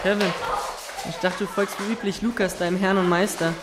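A woman's voice: mid-range voice, fairly even intonation, a little fast, close to an everyday voice. A woman voicing a cheeky, self-assured schoolboy who teases and talks boldly. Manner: cheeky, teasing, brash. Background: music.

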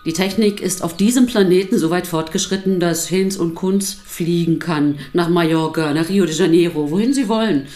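An actress playing a defensive wife in a radio drama, with speech, articulate and given to dramatic pauses. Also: female voice, lowish voice, dark tone, lightly acted. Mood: sardonic, storytelling. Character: defensive wife recounting her husband's violent confession.

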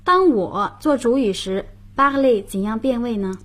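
A woman's voice: on the high side, lively intonation, a little slow. A woman hosting a radio language lesson, patiently explaining grammar and prompting her partner.

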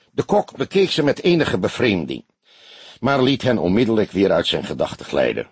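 A man reads a scripted detective novel as an expressive audiobook narrator. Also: sits low, breathy edge, no echo. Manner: expressive storytelling with acted dialogue.

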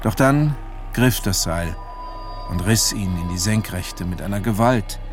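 A male actor delivers grave literary narration for a wartime radio play. His voice is fairly low and measured, with clear music playing behind it. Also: lightly acted. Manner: measured, solemn.

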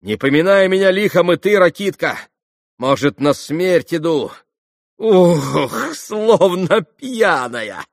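Man playing a hysterical, impassioned young woman, pitched high. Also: expressive, measured pace, no room sound, studio quality, natural voice. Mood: wild excitement. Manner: shrill exclamations, impulsive swings between rage and anguish. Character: hysterical, spiteful, passionate young woman, proud and wounded.

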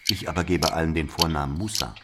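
A man's voice: extremely low pitch, fast talker, very noisy. A man playing a bitter brother of a murdered man, telling his story in an emphatic, conversational dramatic monologue. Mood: confiding. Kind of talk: confiding dramatic monologue to a listener.

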